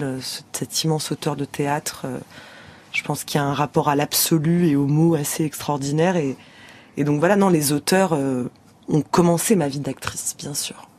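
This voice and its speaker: A woman's voice: sits low, a little mellow. A young actress talking thoughtfully in a radio studio interview, searching for her words as she goes.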